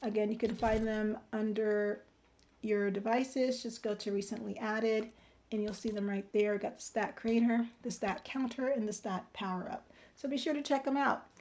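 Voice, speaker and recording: A woman hosting a casual livestream tutorial with a slightly hoarse, strained voice, explaining step by step and checking in as she goes. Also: on the high side, steady tone, moderate pace, darkish tone. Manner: informal, step-by-step explaining, checking in with "right?" and "okay?". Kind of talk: casual game-design tutorial.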